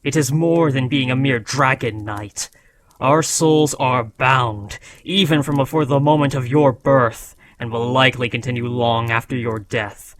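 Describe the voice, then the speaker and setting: A man's voice: mid-range voice, steady tone, a little slow, a bit bright, natural voice. A man voicing an ancient, proud dragon who scolds a beloved rider in a solemn, formal, dramatic fantasy roleplay. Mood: solemn, tender.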